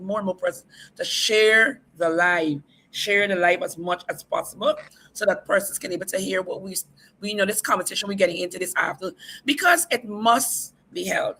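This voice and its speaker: Bright-voiced upbeat talk-show host. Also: medium pitch, a little hiss or hum, low-quality recording. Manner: upbeat, repeats calls to share the stream. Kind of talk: hosting a livestream talk show.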